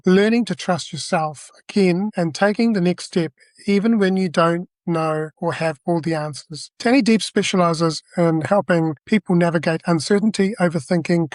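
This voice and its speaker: A man's voice: high-pitched, no echo. A man hosting a podcast, reading a polished motivational intro and then asking interview questions. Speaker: podcast host.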